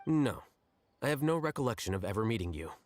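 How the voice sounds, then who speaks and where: A man playing an aloof, blunt scholar-official in a video game, speaking in a dry, measured deadpan. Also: medium pitch, no echo, close to an everyday voice. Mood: indifferent.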